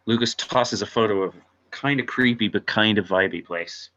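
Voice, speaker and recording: A man's voice: fairly low pitch, moderate pace, bright, forceful tone, lo-fi sound. A man narrating screenplay stage directions at an amateur table read.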